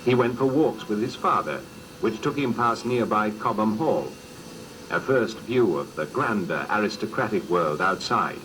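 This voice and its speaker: A man reading scripted documentary narration in a measured, formal storytelling manner, on an old cassette recording. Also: fairly low pitch, expressive, a bit bright, a little hiss or hum, low-quality recording.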